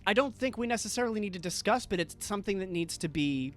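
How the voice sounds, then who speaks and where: A man's voice: high-pitched, animated, quick pace, fairly bright tone, faint music behind. A man hosting a podcast, talking to introduce a segment, recorded close on the microphone. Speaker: podcast host.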